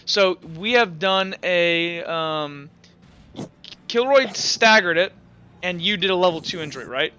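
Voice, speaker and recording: A man's voice: high-pitched, expressive, unhurried, bright, forceful tone, clear, resonant tone. A young man running a tabletop game as game master, talking casually, stumbling over rules and narrating gory combat.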